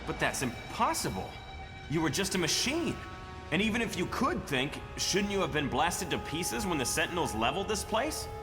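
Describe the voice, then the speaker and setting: A man's voice: on the high side, big pitch swings, normal speed. A man playing an earnest, decisive mutant team leader in a comic-book drama, speaking directly. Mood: puzzled, challenging. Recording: long reverb, music behind.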